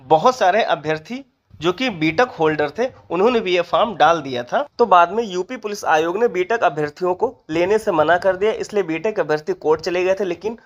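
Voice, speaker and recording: Methodical explainer voice, medium pitch. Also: brisk, lo-fi sound. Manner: methodical, reading out figures. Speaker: exam update presenter. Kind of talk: informational explainer narration.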